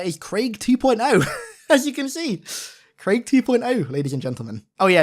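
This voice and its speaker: Excitable man with a higher-pitched voice. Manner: informal, excitable fan talk. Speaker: gaming video commentator. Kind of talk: enthusiastic gameplay breakdown commentary.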